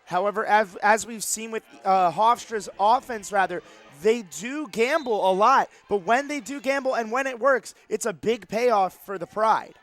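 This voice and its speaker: A man's voice: high-pitched, normal speed, a bit bright. A man giving conversational, appreciative color analysis during a live volleyball broadcast from a gym. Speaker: color commentator. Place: courtside in a gymnasium.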